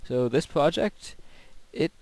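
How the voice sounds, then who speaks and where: A man's voice: fairly high pitch, animated, slow, vocal fry, a little mellow, no echo. Casual male gamer narrating his play. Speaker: gaming video host. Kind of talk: casual rambling game commentary.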